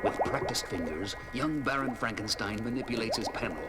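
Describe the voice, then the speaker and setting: A man's voice: medium pitch, lively intonation, fairly quick. A man narrating a horror audio drama in a portentous, theatrical manner. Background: dramatic music score.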